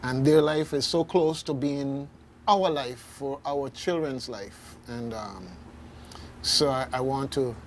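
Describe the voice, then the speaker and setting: A man with a Caribbean accent hosting an informal radio discussion in a halting, reflective way. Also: mid-range voice. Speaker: radio host. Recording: faint background noise.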